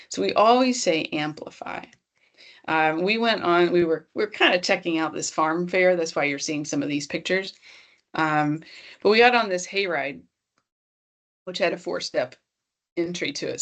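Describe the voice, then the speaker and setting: A woman's voice: on the low side, creaky. A woman presenting an online accessibility workshop in a conversational, encouraging way, speaking straight to her audience.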